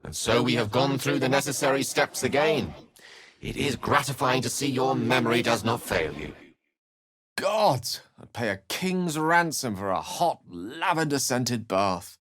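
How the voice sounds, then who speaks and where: A man's voice: medium pitch, lively intonation, a little slow, a bit bright. A man voicing an eloquent, bookish, theatrical wizard in a video game, speaking with refined and wry wording. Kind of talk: video game character dialogue and narration.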